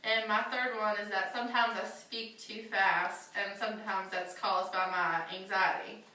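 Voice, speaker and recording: A woman's voice: mid-range voice, steady tone, a little slow, bright, forceful tone. A young adult woman, a student giving a prepared class speech, earnest and a little nervous, with some fillers. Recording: echoey classroom from far off.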